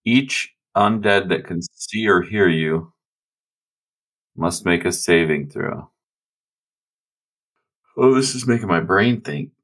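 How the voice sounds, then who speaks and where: A man's voice: sits low, slow, pauses often, fairly bright tone. A man playing a tabletop game in a casual, hesitant and slightly grumbling way.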